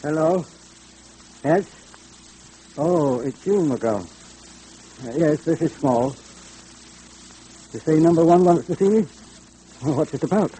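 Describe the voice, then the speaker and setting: An actor playing a nervous, cowardly crook who stammers anxiously in an old-time radio drama. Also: male voice, fairly high pitch, expressive, slow, pauses often, mellow, breathy edge, heavily acted. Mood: uneasy. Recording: noisy old radio recording, hum.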